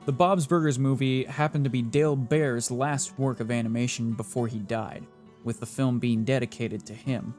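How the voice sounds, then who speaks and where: A man's voice: medium pitch, steady tone, moderate pace, darkish tone. A man narrating a scripted trivia countdown in a playful, jokey presenter style, with wry asides between the facts. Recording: music playing behind the voice.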